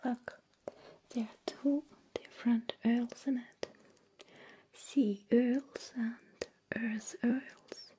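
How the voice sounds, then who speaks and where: A woman playing a friendly, caring alien abductor in an ASMR roleplay, speaking in a soft, breathy whisper with slow, soothing, coaxing words aimed closely at the listener. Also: on the high side, fairly even intonation, a little hiss or hum. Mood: gentle, caring.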